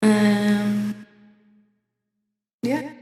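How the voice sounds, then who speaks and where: A woman's voice: mid-range voice, very slow, mellow, soft background music. A woman in her twenties from California, co-hosting a podcast, talking frankly and casually with slang and frequent laughs. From Oakland, California. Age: 20s. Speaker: podcast co-host. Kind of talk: casual chat. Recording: echoey room with long reverb.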